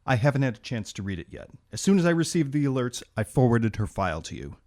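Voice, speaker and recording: An actor playing a dutiful, deferential government agent in an audio drama, reporting in a formal, businesslike way. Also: male voice, medium pitch, big pitch swings, quick pace, a little mellow. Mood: dutiful, concerned.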